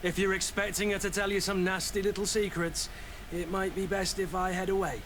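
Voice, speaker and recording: Grave mercenary soldier, higher-pitched. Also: male voice, level tone, a little fast, fairly bright tone, slight background noise. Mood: dry, respectful. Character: gruff, loyal mercenary soldier man, bent on justice. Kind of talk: video game voice acting.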